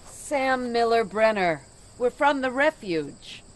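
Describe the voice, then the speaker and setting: An actress playing a weary, stubborn veteran traveler in an audio drama, wry and bantering. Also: female voice, on the high side, animated, slow pace, poor audio. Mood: wary, wry.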